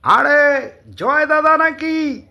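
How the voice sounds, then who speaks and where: A man's voice: very high voice, extremely slow, gravelly, bright, forceful tone, full character voice. A man playing a friendly, hospitable rustic villager who taps date palms, in an audio drama. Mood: calling out cheerfully. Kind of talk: Bengali audio story acting.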